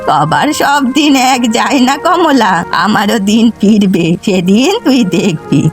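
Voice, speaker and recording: A woman voicing a poor, proud, gentle old village woman in a cartoon, speaking plaintively and earnestly. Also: mid-range voice, animated, measured pace, lightly acted. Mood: wounded resolve. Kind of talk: Bengali cartoon voice acting. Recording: music behind the voice.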